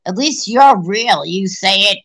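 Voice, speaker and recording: A woman's voice: medium pitch, animated, a little slow, a bit bright. A middle-aged woman chatting bluntly on a phone video call from her living room, swearing freely and restarting her sentences. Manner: blunt, profane, rambling with restarts. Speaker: guest caller. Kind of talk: casual video-call chat. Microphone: phone held close, video call.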